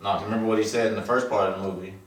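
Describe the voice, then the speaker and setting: A man's voice: on the low side, steady tone, rapid-fire, slight background noise. A man in his thirties talking loosely and casually about a film, with slang and emphatic swearing. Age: 30s. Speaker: film review podcast co-host. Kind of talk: casual chat, film review. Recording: roomy echo, distant microphone.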